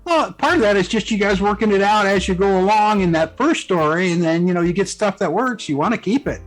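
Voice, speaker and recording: A man with a notably high voice chats as a guest author. His talk is casual and colloquial, full of little check-ins with the listener, over background music. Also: a little fast, rough recording. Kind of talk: casual conversational chat.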